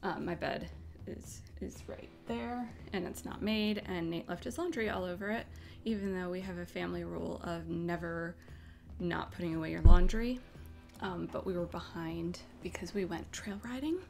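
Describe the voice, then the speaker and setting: A woman's voice: lowish voice, moderate pace, vocal fry, darkish tone, soft background music. An American woman hosting in a casual, playful way, rambling informally as she chats. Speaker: host. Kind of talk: casual, chatty vlog talk.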